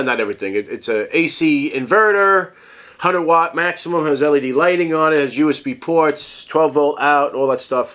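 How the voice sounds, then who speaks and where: Man, stuffy congested voice, casual rambling vlog talk, average pitch, lots of restarts. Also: a bit bright. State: congested, sick. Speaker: tech review vlogger.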